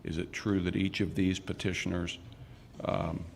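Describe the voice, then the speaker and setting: A man's voice: sits low, little pitch movement, moderate pace, gravelly, slight background noise. A man serving as judge, presiding over a naturalization ceremony in brief, formal court phrasing. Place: community recreation center hall.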